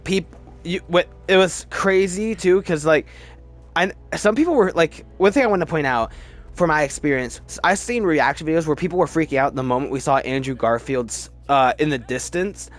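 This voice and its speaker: A man's voice: fairly high pitch, normal speed. A man giving casual, opinionated commentary as host of a film ranking video, with gushing fan enthusiasm and repeated words for emphasis.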